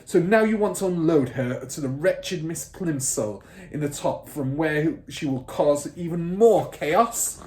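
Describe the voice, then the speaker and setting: A man reads the part of a fierce middle-aged headmistress from a children's storybook. She barks her words loudly and scornfully, bullying whoever listens, with big swoops in pitch. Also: expressive, a little slow, hardly any pauses. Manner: barking, shouting, snorting and scornful. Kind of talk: children's storybook character reading.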